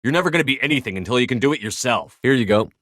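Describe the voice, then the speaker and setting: A man's voice: mid-range voice, animated, racing, a bit bright, dry recording, clean studio sound, close to an everyday voice. A man voicing a tough, commanding space soldier and squad leader in a video game, with terse, firm delivery. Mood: stern. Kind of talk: cinematic game dialogue lines.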